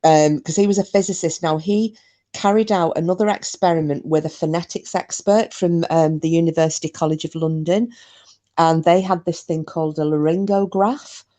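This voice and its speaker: Low-voiced chatty woman. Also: steady tone, normal speed, mellow. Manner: chatty, many fillers and restarts. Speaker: podcast co-host. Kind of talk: casual chat about a paranormal case.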